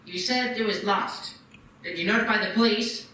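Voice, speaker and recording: A sneering old banker, high bright voice, echoey hall, heard from far off. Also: male voice, normal speed, slight background noise. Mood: scornful. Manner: cold, sneering. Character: greedy, scornful old male banker. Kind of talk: live radio play acting.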